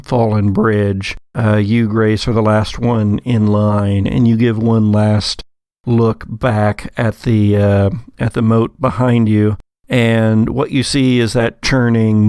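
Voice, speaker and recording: A man narrates a tabletop game as game master. His voice has a creaky, gravelly edge and he takes his time, pausing as he builds each description. The recording is close and dry. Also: lowish voice, a little mellow, no echo. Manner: measured, deliberate, hesitant pauses mid-phrase.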